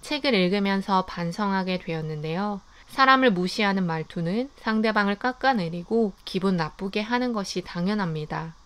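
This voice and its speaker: Smooth-voiced woman reading a book review. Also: mid-range voice, normal speed, hardly any pauses. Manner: calm, even reading of summaries and reflections. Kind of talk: scripted book review narration.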